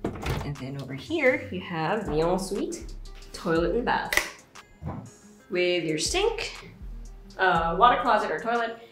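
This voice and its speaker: Young woman walking through a house, upbeat and chatty, lowish voice, faint music under it. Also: big pitch swings, slow, long pauses. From Philippines. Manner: upbeat, chatty, laughs easily. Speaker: house tour host. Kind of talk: walking real-estate tour narration. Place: large house interior, rooms and balconies.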